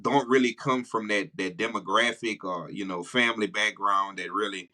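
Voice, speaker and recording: Low-voiced man, bright forceful podcast host. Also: steady tone, moderate pace. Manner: warm-hearted, emphatic, frequent "man". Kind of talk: casual interview talk.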